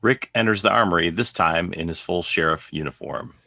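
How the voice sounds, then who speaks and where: A man narrates a script's scene directions. His voice is very low and crisp-edged, recorded dry and close. He reads in measured, declarative sentences. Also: pushed and bright, no room sound.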